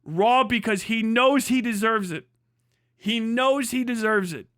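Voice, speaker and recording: A man with a high, sharp voice rants casually as a livestream host, swearing freely and hammering points by repeating them. The sound is close and very dry, with a forceful edge to the delivery. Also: unhurried, no room sound. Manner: profane, emphatic, repeats phrases for emphasis. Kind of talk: casual livestream commentary, comedic ranting.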